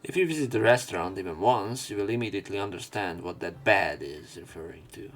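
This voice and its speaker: A man reads a visual novel aloud as a let's player, haltingly, slipping in his own casual commentary. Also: low voice. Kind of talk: narration and commentary over a game, reading aloud with asides. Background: visual novel game music.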